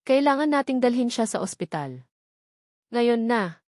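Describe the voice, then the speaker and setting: A woman's voice: on the high side, sing-song, a little slow, darkish tone, no echo. A woman narrator plays an anxious mother in a sentimental audio story. She speaks with urgent fear and devotion, in a very dry recording.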